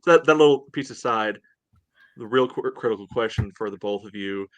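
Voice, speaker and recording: A man's voice: on the low side, brisk. A man hosting a sports podcast, casually chatting and putting questions to his co-hosts. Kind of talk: casual chat, posing questions.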